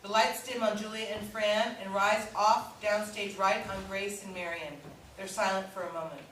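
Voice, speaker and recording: A woman's voice: mid-range voice, fairly even intonation, normal speed, fairly bright tone. A woman giving scripted narration, reading out stage directions at a staged reading. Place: indoor staged reading, recorded from far off. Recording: echoey hall, distant mic, faint noise.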